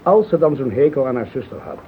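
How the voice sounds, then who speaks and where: Police inspector for radio drama, played by a man: medium pitch, patient, polite, a little hesitant. Narrow, hissy old sound. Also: very animated, lightly acted. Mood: puzzled, probing. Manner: polite, slightly hesitant, measured. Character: courteous, patient police inspector questioning witnesses. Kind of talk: radio play acting. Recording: old tinny radio recording with hiss.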